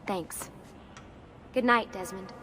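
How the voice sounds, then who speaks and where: A woman's voice: medium pitch, slow pace, a bit bright. An actress playing an earnest, supportive young woman scientist in a video game cutscene. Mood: regretful, subdued. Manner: earnest, reassuring. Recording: noisy ambient background.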